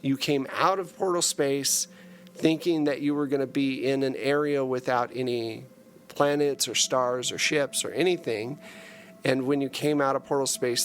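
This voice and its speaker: A man hosting as game master, narrating a tabletop sci-fi game in a conversational, explanatory way. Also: medium pitch.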